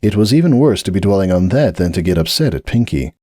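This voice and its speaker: A man narrating a dramatic audiobook reading of a fanfiction story in a measured, expressive way. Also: low voice, no echo, studio quality.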